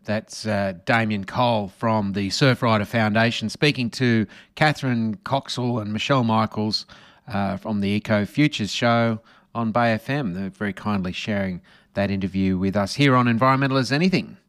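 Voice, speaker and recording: A man's voice: fairly low pitch, a bit bright, no echo, clean studio sound. Joking Australian man hosting radio. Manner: jokey, quick to interrupt with playful asides. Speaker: community radio host.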